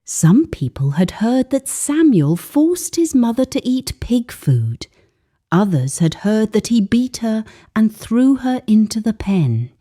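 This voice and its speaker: A woman narrating a scripted moral folktale in a solemn, deliberate way with dramatic pauses. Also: a little high, sing-song, warm tone. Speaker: storyteller.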